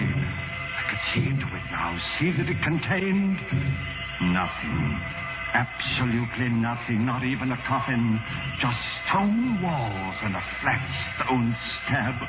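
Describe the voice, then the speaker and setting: An actor playing a wronged, cunning man in a 1940s radio suspense drama, with a theatrical, deliberate delivery. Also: medium pitch. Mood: mounting terror. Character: wronged, cunning male patient feigning terror, then coolly triumphant. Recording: muffled, tinny 1940s radio recording.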